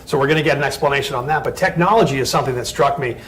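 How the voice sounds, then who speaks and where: A man's voice: medium pitch, racing, a bit bright, slight background noise. A man co-interviewing in a casual chat, talking in an enthusiastic, conversational way. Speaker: agency owner co-interviewer.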